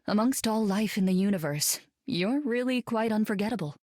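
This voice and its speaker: An actress playing a gentle, earnest psychic heroine in a game. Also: female voice, mid-range voice, expressive, normal speed, no room sound, studio quality, close to an everyday voice. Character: warm psychic heroine woman.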